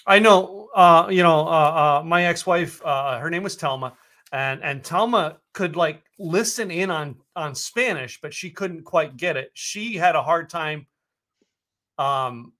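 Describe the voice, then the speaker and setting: A man's voice: a little high, unhurried, pushed and bright. An American man hosting a casual conversation, who rambles with many fillers and restarts. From United States.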